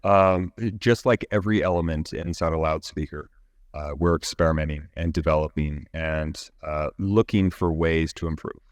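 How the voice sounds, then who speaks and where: A man with an extremely low voice speaks in a close, dry recording. He answers interview questions as an executive, explaining engineering in measured, technical language that often halts mid-phrase. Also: steady tone, no echo.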